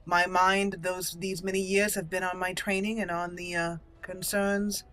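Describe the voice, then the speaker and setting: A woman voicing a proud, haughty dwarven noble warrior in a game roleplay, formal and deliberate. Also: lowish voice, flat delivery, fairly bright tone. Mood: formal, curious.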